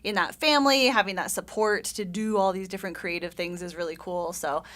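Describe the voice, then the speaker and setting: A woman's voice: lowish voice, a little fast, fairly bright tone. A woman talking casually and enthusiastically as a theatre guest in a radio interview. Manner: enthusiastic, effusive. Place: radio studio.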